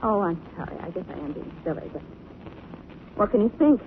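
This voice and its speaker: A woman's voice: lowish voice, big pitch swings, fairly quick, vocal fry. An actress playing a sleuth's apprehensive lady companion in theatrical 1940s radio style. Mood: jittery. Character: nervous lady companion of a sleuth, spooked by ghosts. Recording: very hissy, phone-like 1940s radio recording.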